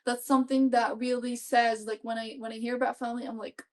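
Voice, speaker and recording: A young woman from Palestine, a podcast guest, chatting warmly with plenty of fillers. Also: a little high, flat delivery, quick pace. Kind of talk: casual interview chat.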